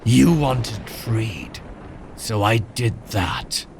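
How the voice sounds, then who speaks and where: An actor playing a cold, controlling cybernetic colonel in a sci-fi audio drama, measured and calmly commanding. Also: male voice, fairly low pitch, vocal fry, slight background noise, heavily acted. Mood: urgent, controlled.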